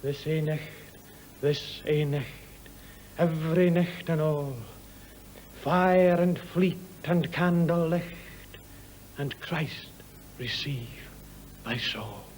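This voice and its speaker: An actor playing an earnest young conscript with a Scottish accent, solemnly reciting verse like an incantation in a drama. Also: male voice, a little high, takes it slowly, long pauses, darkish tone, a little hiss or hum.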